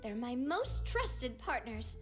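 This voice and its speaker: A high-voiced young lady boss from a video game. Her delivery swings widely and stays genteel and kindhearted, with soft music behind. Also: very animated, takes it slowly. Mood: cordial. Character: genteel, kindhearted young woman boss of a close-knit gang. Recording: music playing softly behind.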